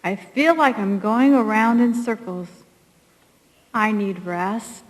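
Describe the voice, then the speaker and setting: Woman, middle-aged, emotional poetry reading, emphatic, unhurried, long hall reverb. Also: mid-range voice. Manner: emphatic, short weighted phrases. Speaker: soldier's mother reading own poem. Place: large hall at a public ceremony.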